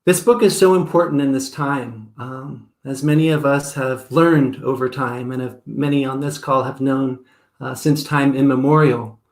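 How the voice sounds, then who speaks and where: A man's voice: mid-range voice, fairly even intonation, normal speed. Man, host, earnest, grateful, hesitant fillers, video call, echoey room. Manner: earnest, grateful, with frequent ums and uhs. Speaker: event host. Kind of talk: opening remarks on a video call.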